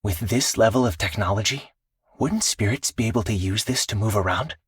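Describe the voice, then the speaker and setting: A man voicing an earnest, dutiful teenage exorcist swordsman in a video game, speaking solemnly and politely in measured phrases. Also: lowish voice, breathy edge, no room sound, clean studio sound. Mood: calm, thoughtful. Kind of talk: scripted video game character voice lines.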